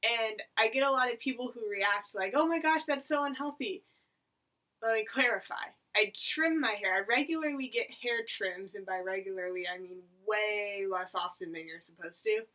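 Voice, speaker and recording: A young woman around eighteen chats to her camera in a chatty, rambling way and pokes fun at herself. Her voice is bright and forward. She sits a little back from the mic, so she sounds slightly distant. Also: mid-range voice, normal speed. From United States. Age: about 18. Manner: chatty, rambling, self-mocking. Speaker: vlogger. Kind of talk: casual talk to camera.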